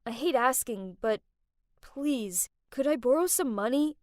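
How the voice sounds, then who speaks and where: A gruff young man, curt and resentful, as a woman reads him out. He talks with clipped edges, and the sound is dead dry with no room around it. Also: very high-pitched, animated, measured pace, no room sound. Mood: awkward.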